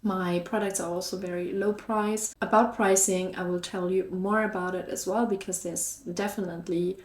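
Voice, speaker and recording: A woman's voice: on the low side, steady tone, moderate pace, a little mellow. A woman with a German accent talking casually to her viewers as a vlog host, in an upbeat, encouraging and chatty way. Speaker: YouTube host and online shop seller. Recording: echoey room.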